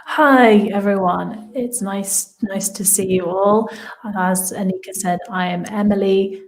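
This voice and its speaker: A woman's voice: mid-range voice, steady tone, unhurried, rough recording. A woman with an English accent co-hosting an online language lesson, using simple, plainly phrased sentences for learners. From Midlands of England. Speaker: English teacher, webinar co-host.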